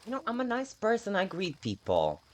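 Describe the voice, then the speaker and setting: A man giving casual game playthrough commentary in a halting, self-deprecating way, swearing freely. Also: high-pitched, wildly swooping pitch. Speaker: game streamer.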